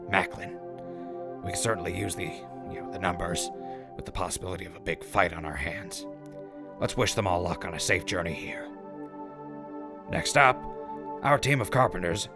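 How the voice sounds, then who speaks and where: A man's voice: low-pitched, vocal fry. A man playing a wry, cheeky wasteland radio host with folksy, mock-gracious announcer patter. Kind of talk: scripted in-character radio broadcast.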